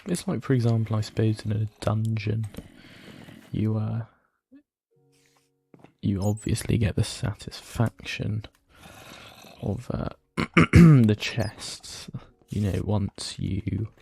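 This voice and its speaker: A man with a croaky, weak voice, rambling hesitantly through casual gameplay commentary with many fillers and frequent throat clearing. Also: fairly low pitch, steady tone, slow, pauses often, a little mellow. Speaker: let's play gamer. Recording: noisy home recording.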